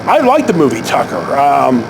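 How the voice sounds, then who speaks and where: A man tells stories casually, in a halting, rambling way with asides and self-corrections. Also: medium pitch. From San Francisco Bay Area, United States. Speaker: vlog host telling personal stories. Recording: clear steady background noise.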